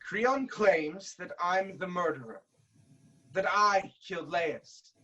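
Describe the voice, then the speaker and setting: A woman's voice: fairly low pitch, slow pace, a bit bright, husky, lo-fi sound, heavily acted. A woman playing a proud, suspicious king in a staged reading of Greek tragedy, with stern declamatory delivery. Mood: indignant. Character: proud, suspicious, hot-tempered male king of Thebes.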